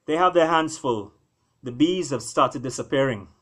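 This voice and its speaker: A man voicing a dutiful lion prince in a storybook, a serious, tender husband who speaks in short, sober, measured sentences. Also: medium pitch, a bit bright, lightly acted. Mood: grave, hushed.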